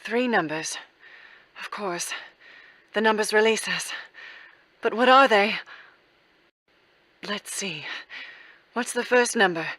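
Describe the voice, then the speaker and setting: A woman playing a composed, clever heroine trapped in a mystery, in measured 1940s radio drama style. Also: medium pitch, pushed and bright, rough recording. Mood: puzzling, intent. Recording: clear music behind the voice.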